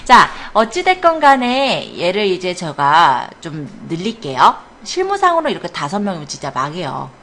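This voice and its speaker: Lively, low-pitched woman teaching computer class. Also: moderate pace, hardly any pauses. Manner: checks understanding often with short questions and encouragement. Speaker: computer academy instructor teaching a spreadsheet class. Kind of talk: hands-on classroom software lesson, step-by-step spoken instruction. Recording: clear background noise in classroom.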